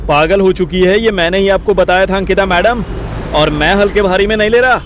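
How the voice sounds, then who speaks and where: A man's voice: sits high, a little fast, fairly bright tone, a little hiss or hum. An actor playing a reluctant, sarcastic, foul-mouthed young man who grumbles and wisecracks in a Hindi audio drama. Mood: defensive.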